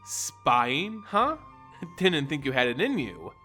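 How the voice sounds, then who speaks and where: A man's voice: on the high side, big pitch swings, slow pace, bright, forceful tone, soft background music, voice pushed down, full character voice. A man voicing a teasing, sardonic female ghost hunter in a fantasy storybook reading. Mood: wry teasing. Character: wry, teasing adventurer woman, ghost hunter.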